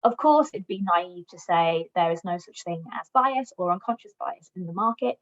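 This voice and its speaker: A woman's voice: on the low side, animated, normal speed. A woman giving an encouraging job search presentation to migrants, speaking in a friendly advisory way. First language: English, also Welsh. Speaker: recruitment platform customer success manager giving a job search talk. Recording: dull lo-fi recording.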